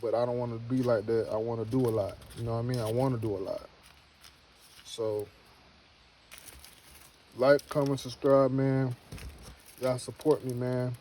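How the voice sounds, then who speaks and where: A man's voice: medium pitch, steady tone, moderate pace, long pauses, mellow, a little hiss or hum. A man in his early thirties talking casually to camera, rambling and repeating himself, then giving an earnest motivational pep talk. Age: 30s. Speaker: homestead vlogger.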